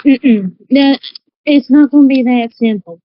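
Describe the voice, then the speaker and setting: Tabletop RPG role: shrewd, folksy woman fixer acted by a woman, higher register, slightly dull dry recording. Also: a little slow. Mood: firm, guarded. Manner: drawling, folksy, calls people baby. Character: worldly, shrewd woman dealmaker in disguise, protective of children.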